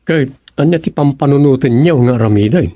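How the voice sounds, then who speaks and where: A man with an Ilocano accent acting an exasperated, fretful village man in a radio comedy-drama, with a husky, gravelly voice. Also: mid-range voice, fairly quick, warm tone, no room sound, clean studio sound, close to an everyday voice. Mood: questioning.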